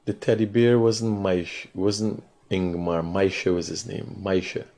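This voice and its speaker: A Guyanese man in his forties with a low voice hosts a morning call-in show. He muses slowly on his own, then talks loud and fast when he argues with a caller. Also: little pitch movement. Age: 40s. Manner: slow and reflective alone, loud and rapid when arguing. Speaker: radio call-in host.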